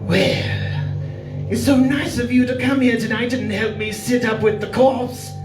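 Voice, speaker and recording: A woman's voice: on the high side, fairly even intonation, a little slow, close to an everyday voice. A woman playing a ghoulishly jovial male horror host in 1940s radio style, with macabre, theatrical delivery. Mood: ghoulishly playful. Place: live stage performance in a venue. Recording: roomy, distant mic, music behind.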